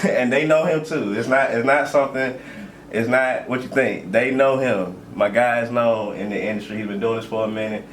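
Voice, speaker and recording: A man's voice: mid-range voice, fairly even intonation, normal speed, fairly bright tone, far from the mic. A man hosting a casual podcast interview, talking in loose, joking slang and filling gaps with lots of "okay"s. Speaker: podcast host. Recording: roomy echo, off-mic, faint noise.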